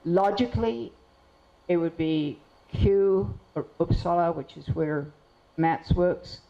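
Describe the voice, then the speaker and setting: A woman's voice: on the low side, slow pace, vocal fry, dark tone. A woman speaker answering an audience question candidly, with a rambling, frank manner. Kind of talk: lecture Q&A. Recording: dull muffled sound.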